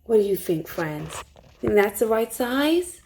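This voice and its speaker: A woman storytime teacher reading a rhyming picture book aloud to young children in an expressive way. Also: fairly high pitch, a little slow, a little hiss or hum, poor audio. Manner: expressive, hushed suspense, asks listeners questions.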